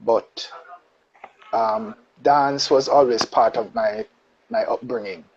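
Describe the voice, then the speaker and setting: A man from Jamaica with a Jamaican accent, a guest on a casual live-stream interview, speaking in a relaxed way with patois phrases. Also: mid-range voice, slow, gravelly. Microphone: phone on a live video call. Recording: dull lo-fi live-stream audio with faint noise.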